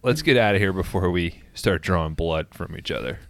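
Low-voiced man co-hosting a podcast. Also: a little fast. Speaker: podcast co-host. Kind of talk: casual podcast banter.

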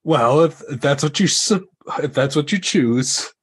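Game master as a proud, husky-voiced knight. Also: male voice, a little high, a little slow, pitched above the performer's own voice. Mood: haughty. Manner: courtly, old-fashioned phrasing. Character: proud, honor-bound knight, hearty and gracious in victory.

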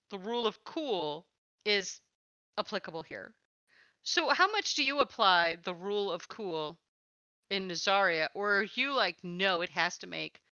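A woman's voice: medium pitch, pushed and bright, no room sound, highs cut off. A woman hosting a conversational interview about tabletop gaming. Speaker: interviewer, podcast host.

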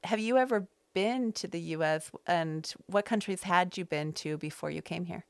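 American woman podcaster with a creaky voice. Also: fairly low pitch, normal speed, no room sound. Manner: rambling, self-deprecating storyteller. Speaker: podcast host. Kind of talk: casual conversational podcast chat. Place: small loft space at home.

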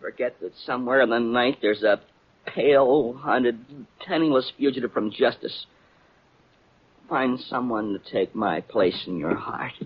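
An actor playing an earnest, self-important and naive private eye with theatrical, melodramatic delivery in a 1940s radio drama. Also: male voice, mid-range voice, slightly rough. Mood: melodramatic despair. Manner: pompous, theatrical, halting when flustered. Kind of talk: 1940s radio comedy-mystery acting. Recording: dull 1940s radio recording.

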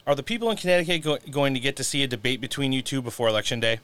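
Male show host, quick and smooth, relaxed political chat. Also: medium pitch. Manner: loose, filler-heavy with "you know". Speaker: podcast host. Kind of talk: casual political talk show.

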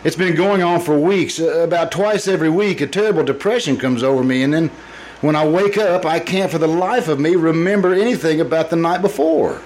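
Hesitant, troubled businessman, played by a man, higher-voiced. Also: darkish tone, close to an everyday voice, rough recording. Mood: fearful, confessing. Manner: hesitant, halting. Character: troubled, anxious businessman with frightening memory lapses. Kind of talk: old-time radio drama acting.

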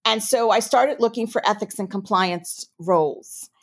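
A woman from New York with a New York accent, answering interview questions as a compliance officer in a measured, explanatory way. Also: mid-range voice. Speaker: chief ethics and compliance officer.

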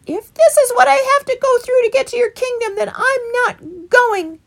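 A woman's voice: extremely high pitch, huge pitch swings, moderate pace, pitched above the performer's own voice, exaggerated voice. A woman voicing an impatient, fickle young male rabbit. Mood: eager. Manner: eager, then petulant.

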